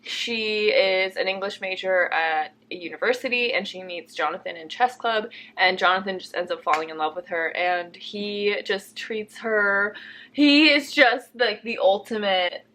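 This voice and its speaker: A woman's voice: medium pitch, a little slow, pushed and bright, a little hiss or hum. A young woman book vlogger chatting casually, with a playful, teasing and gushing manner. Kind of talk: casual chat.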